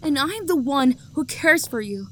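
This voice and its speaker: A woman's voice: on the high side, takes it slowly, a bit bright, slight background noise. A young woman acting an obsessive, possessive lover who hunts for the one she adores, her voice sweet and menacing and often sinking to a whisper. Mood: pleading, unhinged. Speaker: voice actor. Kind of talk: audio roleplay acting.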